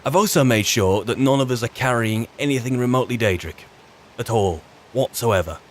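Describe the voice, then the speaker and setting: A man with a British accent roleplaying a weary, chatty wizard's servant turned adventurer, musing in a wry, halting, rambling way. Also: mid-range voice, a little hiss or hum, natural voice. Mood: chatty planning. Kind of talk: in-character game roleplay monologue.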